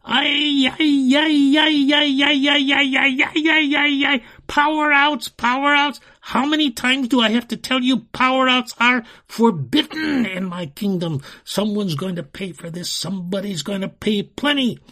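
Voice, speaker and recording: A man's voice: high voice, lively intonation, very slow, long pauses, voice pushed up, full character voice. A man voicing a raging, sinister fire wizard in a storybook, commanding and gloating as he shrieks. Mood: furious.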